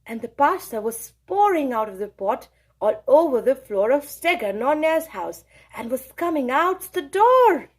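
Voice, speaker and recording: A woman reading a children's story aloud in an expressive, dramatic style with emphatic phrasing. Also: sits high, unhurried.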